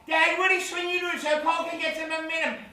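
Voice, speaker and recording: A man voicing an excitable young Australian child on a family car holiday, chattering eagerly and whining. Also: very high-pitched, fast talker, voice pushed up, full character voice. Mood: gleeful. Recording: echoey room, distant mic, noisy.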